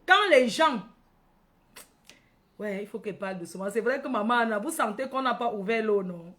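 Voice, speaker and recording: High-voiced woman with a Cameroonian accent. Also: expressive, normal speed, a bit bright. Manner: calls out to viewers by name, repeats phrases, tags questions. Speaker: livestream host. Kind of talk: casual live chat addressed to followers.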